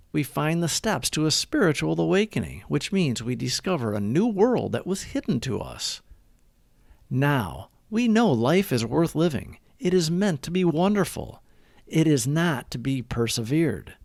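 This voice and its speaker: A man reading a daily recovery meditation aloud, with an earnest, measured and prayerful delivery. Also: a little high, creaky, a little mellow, dry recording, clean studio sound.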